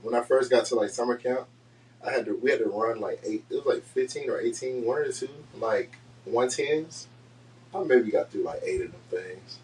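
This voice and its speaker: A man's voice: medium pitch, a little mellow, far from the mic, slight background noise, rough recording. A man in his thirties co-hosting a podcast, talking casually with lots of "like" fillers. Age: 30s. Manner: fast, filler-heavy talk with many "like"s. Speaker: podcast co-host. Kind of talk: casual chat.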